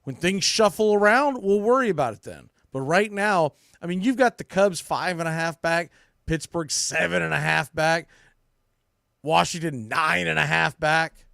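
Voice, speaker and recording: A man's voice: a little high, moderate pace, creaky, a bit bright, no echo, studio quality. A man hosting a sports podcast, giving casual, opinionated commentary in an informal, emphatic way.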